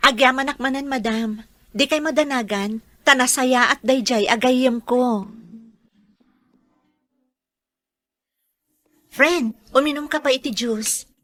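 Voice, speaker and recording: An actress plays a devoted mother working as a housemaid in a radio drama. Her voice sits fairly high in a dry recording. She speaks politely and deferentially, with some hesitation. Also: moderate pace, a bit bright, no room sound. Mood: polite, friendly. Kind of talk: radio drama acting in dialogue.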